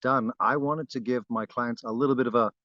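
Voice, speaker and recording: A man from Barbados speaks as a podcast guest in an unhurried, modest way, often pausing on fillers and restarting his sentences. His voice sits at an ordinary pitch for a man. The sound is dry and a little dull, like a remote call. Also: fairly even intonation, bright, forceful tone, no echo. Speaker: guest wood carver. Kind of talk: relaxed interview chat.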